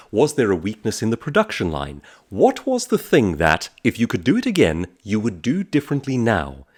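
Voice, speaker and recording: Low voiced guy doing motivational coaching, super animated pitch swings, punchy deliberate phrasing, lots of questions. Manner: emphatic, deliberate phrasing with questioning rises and falls. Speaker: author and speaker presenting a business advice talk. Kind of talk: motivational coaching monologue with numbered points and rhetorical questions.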